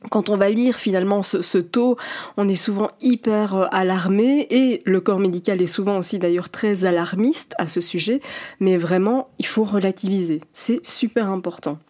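A woman's voice: mid-range voice, moderate pace, mellow. A woman in her forties, a fertility coach speaking alone on a podcast, talking straight to the listener in an earnest, reassuring way. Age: 40s. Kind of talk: solo podcast monologue.

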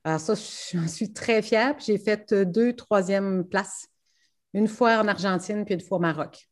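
A woman speaks French with a Québécois accent at a middle pitch. She sounds enthusiastic and keen, laughing between answers and filling pauses with "euh" as she talks about her passion. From Quebec. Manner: enthusiastic, laughs often, many fillers. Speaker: rally co-driver. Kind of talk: interview answers.

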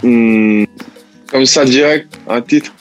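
A man's voice: medium pitch, faint music behind. A man who grew up in Italy, chatting casually as an interview guest, often restarting and searching for words. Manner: halting, many restarts and fillers. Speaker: rapper guest.

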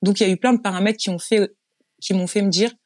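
A woman's voice: mid-range voice, fairly even intonation, fast, dry recording, clean studio sound. A woman from France, a guest in a casual interview, telling her story frankly in a chatty way with frequent restarts. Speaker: guest, business owner.

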